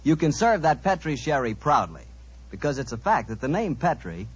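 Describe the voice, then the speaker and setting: A man's voice: medium pitch, sing-song, normal speed, husky. An American man announcing in a polished, folksy salesman's style on 1940s radio. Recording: muffled, hissy vintage 1940s radio recording.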